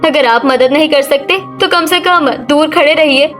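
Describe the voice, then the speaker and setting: A woman's voice: high-pitched, normal speed, a bit bright, soft background music. A woman voicing a practical, outspoken young village daughter-in-law in a Hindi cartoon story. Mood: firm.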